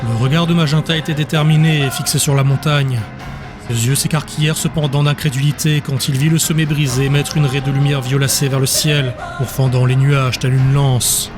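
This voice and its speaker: A man's voice: mid-range voice, steady tone, a little fast, over music. A man reading scripted dramatic narration as the narrator of an audiobook.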